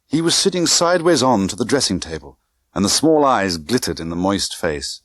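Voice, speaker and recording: A man's voice: on the low side, sing-song, normal speed, no room sound, studio quality. A man reading a thriller audiobook in a tense, theatrical manner with clipped short phrases. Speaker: audiobook narrator. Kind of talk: dramatic scripted narration of a spy thriller novel.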